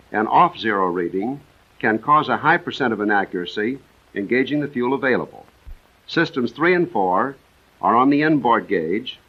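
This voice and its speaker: An American man narrating a 1940s military training film in a measured, precise, instructional manner. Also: mid-range voice. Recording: dull vintage 1940s film soundtrack.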